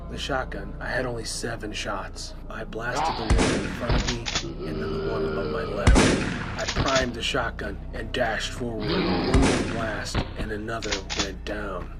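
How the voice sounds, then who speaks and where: Man acting a low-voiced, grave survivor over loud music. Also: close to an everyday voice. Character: tense male survivor narrating a zombie outbreak escape. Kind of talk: first-person scripted radio play narration.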